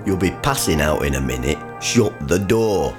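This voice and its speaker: A man playing a grumpy, crude working-class father in a radio comedy sketch, gruff, sarcastic and blustering. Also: low voice, very animated, moderate pace, music in the background.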